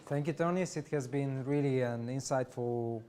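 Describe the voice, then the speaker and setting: A man from Bulgaria addresses a live audience as panel moderator. His voice is dark and mellow, and his delivery is earnest and measured, dotted with filler pauses. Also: mid-range voice, slight background noise. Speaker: event moderator, e-resident community board member. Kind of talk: panel event remarks. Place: event venue, live audience.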